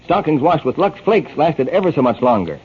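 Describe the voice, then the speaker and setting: A man's voice: fairly high pitch, big pitch swings, brisk, husky. A man reading scripted advertising copy as a 1940s radio announcer. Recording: dull 1940s radio broadcast recording.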